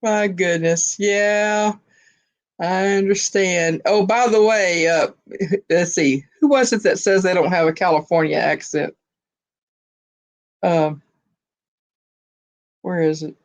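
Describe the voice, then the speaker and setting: Slow, chatty woman from Texas. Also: on the low side, steady tone. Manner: chatty storyteller, laughs often. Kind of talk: casual chat on a video call. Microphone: video call.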